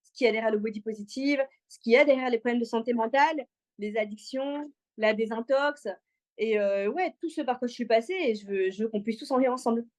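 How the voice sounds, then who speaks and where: A woman's voice: on the high side, a little fast. A talkative woman from Congo, telling personal stories informally and with slang as an interview guest. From Congo, moved to Belgium aged six. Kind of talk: casual personal storytelling in an interview.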